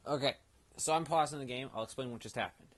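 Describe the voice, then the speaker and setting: A man's voice: mid-range voice, quick pace. A man hosting a gaming video with casual, explanatory commentary and rambling asides. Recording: loud background noise.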